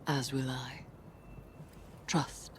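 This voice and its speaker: A brooding occult warrior from a video game. The voice is very low and measured, with a breathy, solemn intensity. Each phrase falls like a dark prophecy, over faint background noise. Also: female voice, steady tone, heavily acted. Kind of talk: dramatic video game acting.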